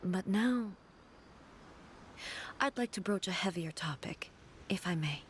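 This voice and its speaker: A woman voicing a solemn, reserved female ruler in a video game, speaking slowly and softly in a measured way. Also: medium pitch, lively intonation, slight background noise. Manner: slow, measured, soft-spoken.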